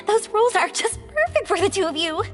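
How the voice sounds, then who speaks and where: A woman voicing a bubbly, friendly young fireworks maker in an animated video game, speaking with enthusiasm. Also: very high-pitched, normal speed, faint music behind, exaggerated voice.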